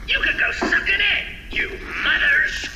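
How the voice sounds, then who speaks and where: A man's voice: very high voice, animated, unhurried, very noisy, exaggerated voice. A man playing an abrasive, snarky werewolf station manager in a campy horror radio show. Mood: crude defiance.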